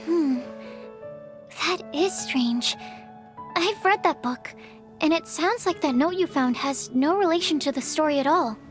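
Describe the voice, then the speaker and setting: A woman's voice: high-pitched, unhurried. A woman playing an earnest, studious young female alchemist in a video game, speaking in precise, bookish, polite phrases. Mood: polite, curious. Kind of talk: video game character acting. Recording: soft game music behind.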